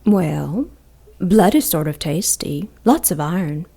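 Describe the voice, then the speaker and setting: A woman voicing an ancient, cruel female demon who taunts slowly and with menace in an audio drama. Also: fairly low pitch, animated, vocal fry, mellow, husky, full character voice. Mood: taunting. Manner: slow, mocking, menacing purr.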